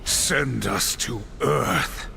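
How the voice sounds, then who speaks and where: An actor playing a stern, dutiful supernatural warrior in a video game, grave and terse with a very gravelly voice. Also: male voice, mid-range voice, lively intonation, extremely slow, pushed and bright, a little hiss or hum, heavily acted. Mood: grim resolve. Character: stern, dutiful, grim male horseman warrior. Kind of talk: video game cutscene acting.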